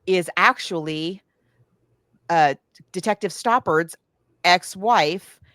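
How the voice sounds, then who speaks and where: Woman podcaster with a lowish, dry-recorded voice. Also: slow pace, a bit bright, no echo. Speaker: podcast host. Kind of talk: casual chat recounting a film plot.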